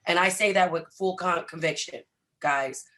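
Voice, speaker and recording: A man with a hoarse, lost voice, talking in an energetic, hype-filled way to viewers as a trading coach on a live stream. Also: sits high, normal speed.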